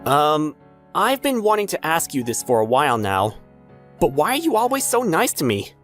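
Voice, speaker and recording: A man's voice: on the high side, wildly swooping pitch, normal speed, fairly bright tone, soft background music. A man playing an earnest, polite young salesman who narrates his own story in a manga dub. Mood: gentle.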